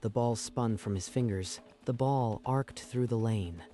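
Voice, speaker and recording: A man's voice: medium pitch, measured pace, warm tone, soft background music. A man narrating a dramatic story from a script in a storytelling style.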